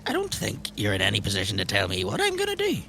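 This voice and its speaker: Man playing a gravelly, evasive old pub drinker. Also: fairly low pitch, huge pitch swings, bright, forceful tone, soft background music, heavily acted. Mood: curt, indignant. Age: older. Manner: halting, defensive, repeats himself. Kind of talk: improvised tabletop roleplay.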